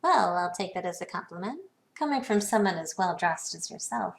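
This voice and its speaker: A woman acting a savvy, poised businesswoman in a video game, speaking in a dry, sardonic, measured way. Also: fairly low pitch, animated, vocal fry. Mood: dry amusement.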